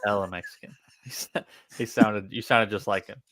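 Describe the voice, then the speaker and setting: Laid-back man, low and dry. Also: fairly even intonation, normal speed, no room sound, low-quality recording. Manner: laid-back, quiet, short replies. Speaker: podcast co-host. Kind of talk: casual banter.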